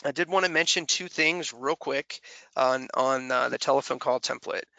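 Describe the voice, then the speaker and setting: A man presenting a software tutorial as a webinar trainer, explaining informally step by step and often checking in with short tags. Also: medium pitch, normal speed, creaky, no echo.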